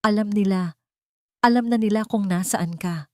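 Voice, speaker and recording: A woman's voice: mid-range voice, moderate pace, no echo, pitched above the performer's own voice, lightly acted. A woman voicing a weary, worried old nanny in a story, trembling and urgent. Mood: fearful urgency. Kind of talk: storybook drama.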